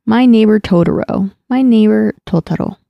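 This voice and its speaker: Podcast co-host, casual chat, gravelly, dry recording. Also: mid-range voice, moderate pace, no echo, muffled recording.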